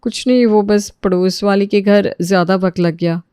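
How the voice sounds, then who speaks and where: A woman playing a proud, feisty housemaid in an audio story, argumentative and quick to talk back. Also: medium pitch, steady tone, warm tone, smooth, no room sound, studio quality, pitched below the performer's own voice, lightly acted. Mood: matter-of-fact. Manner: defiant, argumentative.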